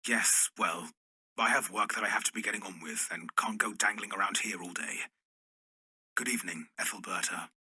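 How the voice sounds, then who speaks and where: Jealous gentleman suitor, acted by a man: very bright, forceful, lowish voice, clipped and sardonic, period drama. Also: normal speed, breathy edge, no room sound, clean studio sound, heavily acted. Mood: jealous, curt. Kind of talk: amateur period radio drama acting.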